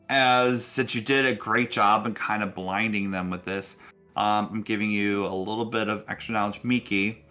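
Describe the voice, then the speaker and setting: A man with a fairly low but bright, forward voice runs a tabletop role-playing game. He narrates off the cuff in a casual, halting way, pausing often. Music plays behind him.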